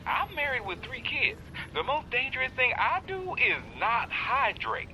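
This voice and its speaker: A man playing a jaded, deadpan strip club DJ and reluctant mentor in an audio drama. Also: extremely high pitch, measured pace, slight background noise, exaggerated voice, poor audio. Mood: weary irritation. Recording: loud music over the voice.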